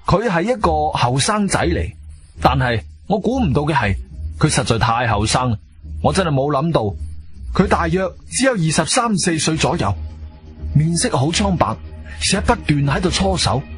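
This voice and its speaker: A stern, controlled hero narrating a radio play. His pitch moves widely and dramatically, with clear music playing behind him. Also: sing-song, normal speed. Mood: tense, controlled. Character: composed, wronged male adventurer narrating his own ordeal. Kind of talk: first-person radio drama narration and dialogue.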